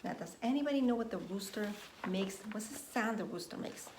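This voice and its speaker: A woman nursery teacher reading a story aloud to young children in an expressive, deliberate way, prompting them with questions. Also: mid-range voice. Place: indoors at home. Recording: roomy echo, faint background noise, home recording.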